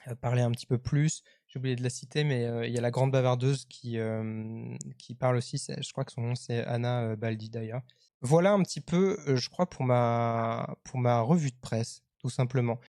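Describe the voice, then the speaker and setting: A man talks as the host of a live stream. His voice sits at an average pitch and is recorded very dry. He comments conversationally, often hesitating and feeling his way through each sentence. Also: darkish tone, no echo. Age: 30s. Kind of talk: live commentary on web culture, casual press review.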